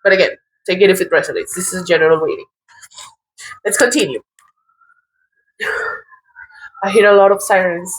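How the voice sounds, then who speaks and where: A woman from Colombia with a Colombian accent, giving a casual tarot reading in a halting, thoughtful way, often restarting her words. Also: lowish voice, rough recording. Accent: Colombian Spanish. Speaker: tarot reader.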